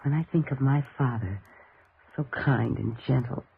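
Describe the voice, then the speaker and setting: Bitter grieving daughter, tinny recording. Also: female voice, rumbling low, moderate pace, creaky, slightly rough, exaggerated voice. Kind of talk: 1950s radio drama acting. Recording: tinny, noisy vintage radio recording.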